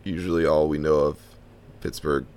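A man from the Washington DC–Baltimore area hosting a podcast, with a bassy baritone voice, laid-back and chatting with short agreeing replies. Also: flat delivery, normal speed, a little mellow. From Washington DC–Baltimore area, USA. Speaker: podcast host. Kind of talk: casual podcast interview.

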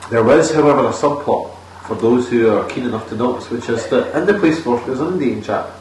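A man's voice: medium pitch, lively intonation, fast, a little mellow, slight background noise. A young man co-hosting a podcast, reviewing a film in dry, sarcastic casual chat. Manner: dry, sarcastic, laughs at his own jokes. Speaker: podcast co-host. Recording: roomy, distant mic, faint ambience.

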